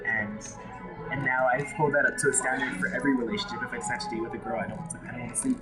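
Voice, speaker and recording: A man's voice: mid-range voice, fairly even intonation, rapid-fire. Casual: guy from hawaii spilling his story, blunt and emotional, keeps restarting, big music in the background. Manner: frank, emotional, self-interrupting. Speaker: interviewee. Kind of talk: candid personal story.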